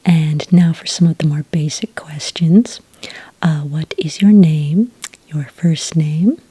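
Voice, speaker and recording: A woman speaks in an extremely breathy half-whisper, low and mellow, as a patient, polite enrollment witch in an ASMR roleplay. She is gentle and unhurried, with small hesitations as she takes down details. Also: steady tone. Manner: gentle, slow, hesitant official patter. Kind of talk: soft-spoken ASMR roleplay. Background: computer keyboard typing.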